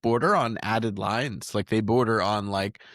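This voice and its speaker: A man's voice: on the low side, unhurried, a bit bright, no echo, studio quality. A man hosting a podcast, talking in a casual, conversational way. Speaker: podcast host.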